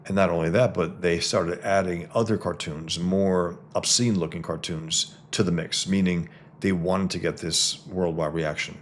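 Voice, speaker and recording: A cartoonist from the Bronx, talking casually to followers on a livestream, with many fillers and restarts. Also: male voice, low voice, steady tone, normal speed, slight background noise. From the Bronx, New York. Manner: greets viewers mid-talk.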